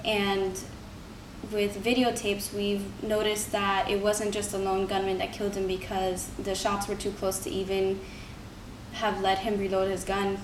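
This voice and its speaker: A young woman giving an earnest, informal persuasive speech, like a student presenting to a class. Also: medium pitch. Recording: roomy, distant mic, noisy background.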